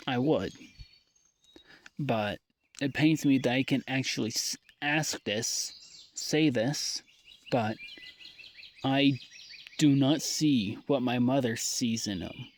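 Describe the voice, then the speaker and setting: A young man speaks slowly into a personal audio log, his words weary and halting, often trailing off into sighs. His voice sits at an ordinary middle pitch. Faint outdoor noise and birdsong linger behind him. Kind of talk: personal audio diary monologue.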